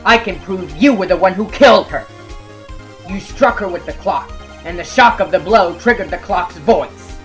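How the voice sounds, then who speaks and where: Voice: mid-range voice, lively intonation, normal speed, a bit bright, faint music behind, lightly acted. An actor as a dogged, earnest young male defense attorney. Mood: accusing. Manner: assertive, declamatory argument. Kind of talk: amateur fandub courtroom game acting.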